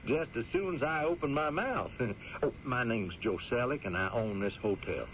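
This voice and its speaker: An actor playing a kindly, folksy frontier hotel owner with an American West accent in a radio western, drawling solicitously with plenty of hemming and hawing. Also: male voice, mid-range voice, moderate pace, a bit bright, natural voice. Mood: genial, courteous. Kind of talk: old-time radio western acting. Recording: muffled, noisy radio drama recording.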